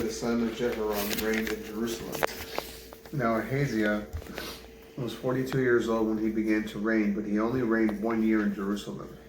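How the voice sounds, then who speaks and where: A man reading scripture aloud in a plain, unpolished way, halting and correcting himself over names. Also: lowish voice. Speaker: Bible reader. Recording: roomy, distant mic, clear background noise.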